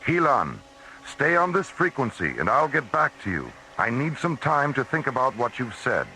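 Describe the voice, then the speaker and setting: An actor playing an authoritative space station commissioner in a science fiction radio drama, firm and official. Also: male voice, medium pitch, huge pitch swings, normal speed, bright, forceful tone, a little hiss or hum, rough recording. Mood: measured concern.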